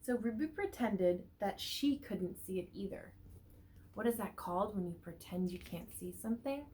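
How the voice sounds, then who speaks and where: A woman teacher reads a picture book aloud to young children, stretching words for emphasis and pausing to ask them questions. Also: mid-range voice, lively intonation, a little mellow, a little hiss or hum. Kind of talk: read-aloud storytelling with asides and questions to young listeners.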